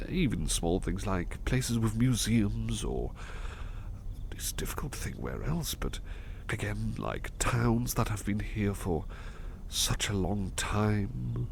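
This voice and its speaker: A man playing a devoted, polite butler in a soft-spoken ASMR roleplay, speaking gently and hesitantly with courteous stammers. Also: fairly low pitch, gravelly, slight background noise, heavily acted. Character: devoted, polite, history-loving male butler companion.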